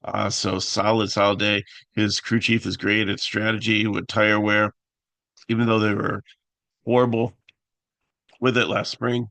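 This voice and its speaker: A man with a raspy voice hosting a casual fantasy picks talk, halting with many fillers and restarts. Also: on the low side, flat delivery, fairly bright tone, no room sound. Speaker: fantasy sports analyst host.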